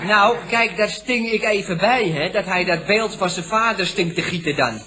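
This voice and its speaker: An actor playing a cheeky young nephew with colloquial, ungrammatical speech in an old Dutch radio comedy. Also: male voice, sits high, moderate pace, sharp tone. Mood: earnest. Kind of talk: 1960s-70s Dutch radio comedy acting.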